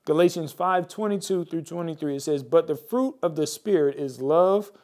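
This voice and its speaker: A man's voice: on the high side, moderate pace, a little mellow. An American man preaching a mid-week sermon as a pastor, exhorting his listeners directly and repeating key phrases for emphasis. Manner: exhorting, addresses viewers directly. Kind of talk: mid-week church sermon with prayer and scripture.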